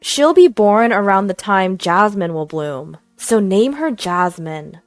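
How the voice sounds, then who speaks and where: A woman acts out a frail, kindly old mother-in-law in a family story. She speaks gently and slowly, with faint music behind. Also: mid-range voice, lively intonation, dry recording. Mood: tender. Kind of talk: acted dialogue in narrated story.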